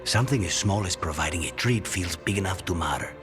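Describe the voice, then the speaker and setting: Low-voiced, earnest assassin. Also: male voice, animated, fast talker, music in the background. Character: reserved, earnest male assassin with a soft heart. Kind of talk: game dialogue acting.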